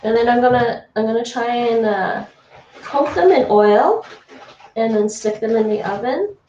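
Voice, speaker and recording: A woman's voice: fairly high pitch, moderate pace, mellow, distant mic, muffled recording, a little hiss or hum. A woman from Toronto, Canada, chatting casually with her stream chat while cooking in a home kitchen, laughing often. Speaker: livestreamer. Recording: echoey room, voice off-mic.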